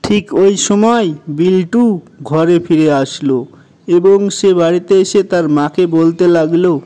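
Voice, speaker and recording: A man's voice: sits high, takes it slowly, mellow. A man narrating a scripted children's story as the narrator of a cartoon.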